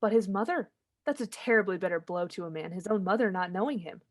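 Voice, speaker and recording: Lady playing a bossy head angel, normal pitch, stern, old radio play style. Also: fast talker, lightly acted. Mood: reproving. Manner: firm, reproving. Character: stern, brisk heavenly superior angel. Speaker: actor.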